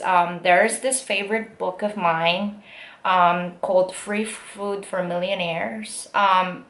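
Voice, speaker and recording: A young woman with a Korean accent and American-style English, telling a story casually with many fillers and pauses. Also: on the low side. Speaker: aspiring actress. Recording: echoey room.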